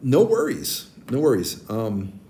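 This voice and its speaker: A man in his forties with a naturally deep voice, chatting casually with stream viewers and giving friendly advice, with many ums and restarts. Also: wildly swooping pitch, takes it slowly, dark tone, slight background noise. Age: 40s. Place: abroad, streaming on a tablet. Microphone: tablet mic.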